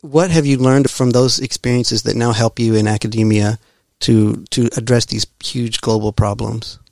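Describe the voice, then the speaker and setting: A man hosting an interview podcast, reading a scripted intro and then asking questions calmly. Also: medium pitch, moderate pace, gravelly, no echo, clean studio sound. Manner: calm, brief affirming murmurs. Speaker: podcast host. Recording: music bed under the voice.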